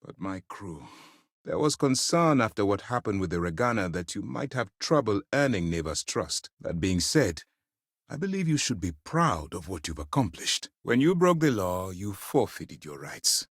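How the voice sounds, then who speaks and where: A stern space commander, widely swinging pitch. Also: male voice, sing-song, dry recording, clean studio sound. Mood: measured, formal. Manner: measured, formal, authoritative. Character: stern, principled middle-aged male military commander in space. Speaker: video game voice actor. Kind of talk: dramatic video game dialogue.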